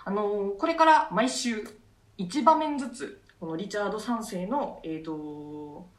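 A woman's voice: medium pitch, expressive, measured pace. A woman, an actress co-hosting a radio show, explaining casually among colleagues. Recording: echoey room, distant mic.

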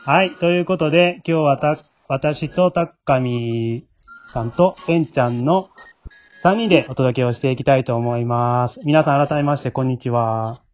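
A man's voice: mid-range voice, moderate pace. A man hosts in a casual, polite way, explaining as he goes. He is on a slightly muffled remote connection, with music in the background. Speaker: host and scriptwriter of an amateur drama group. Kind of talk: casual hosting chat. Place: at home, remote recording.

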